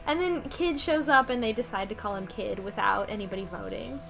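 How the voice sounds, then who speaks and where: A woman's voice: medium pitch, fairly quick, creaky, fairly bright tone, soft background music. A woman co-hosting a podcast, casually recapping a game's story and acting out bits of dialogue in an informal way. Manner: informal, quotes characters in dialogue. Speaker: podcast co-host.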